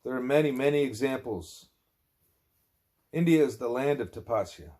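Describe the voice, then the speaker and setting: A man reading a spiritual discourse aloud as an audiobook narrator, with deliberate, measured phrasing. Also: medium pitch, lively intonation, a little mellow. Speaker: audiobook reader.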